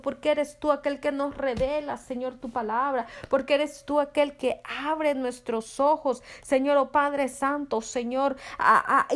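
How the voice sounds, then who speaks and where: A woman leading a fervent spoken prayer with rhythmic repeated invocations, at times dropping to a soft near-whisper. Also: sits high. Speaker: prayer leader.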